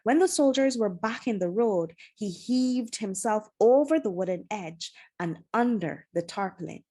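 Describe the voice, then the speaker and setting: A woman narrates an adventure novel from the page. Her voice sits at an ordinary middle pitch, and she tells the story in an expressive, dramatic storybook style. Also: unhurried. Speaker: storybook reader. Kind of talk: scripted narration, children's adventure novel read aloud.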